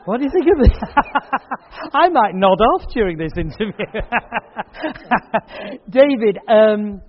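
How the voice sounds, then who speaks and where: A man's voice: high voice, animated, slow pace, muffled recording. A man hosting a church event, chatting and joking with the audience in a hall. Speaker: event host and speaker. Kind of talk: casual chat at a church event.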